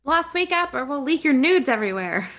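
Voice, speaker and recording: A woman voicing a sweet young superhero girl in a strained, high put-on voice. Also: big pitch swings, a little fast, fairly bright tone, heavily acted. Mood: teasing.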